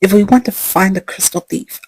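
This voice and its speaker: A woman's voice: medium pitch, moderate pace, husky, dry recording, natural voice. A woman voicing a sporty, tomboyish female pegasus pony in a fan comic dub, blunt and matter-of-fact.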